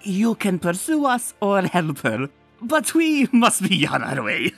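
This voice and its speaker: A woman voicing a smug, scheming male villain pony in a comic dub, speaking suavely and mockingly in a lowered voice. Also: sing-song, measured pace, no echo, soft background music.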